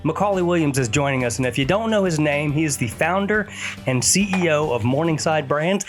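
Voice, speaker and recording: A man's voice: fairly high pitch, lively intonation, brisk, faint music behind. A man hosting a casual podcast chat, upbeat and stumbling over his words now and then. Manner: upbeat, with frequent restarts. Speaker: podcast host.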